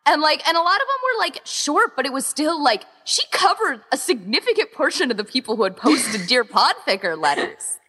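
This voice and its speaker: Woman, bright voice, lively pitch swings, informal interview chat, gushing and emphatic, long reverb. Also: a little fast. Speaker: podcast interview guest, event organizer.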